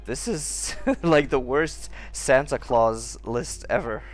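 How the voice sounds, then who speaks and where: A man's voice: medium pitch, slow pace. A man giving casual, off-the-cuff commentary while playing a video game. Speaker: gaming streamer. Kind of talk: casual gameplay commentary, reading in-game notes aloud. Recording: game music behind the voice. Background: video game music.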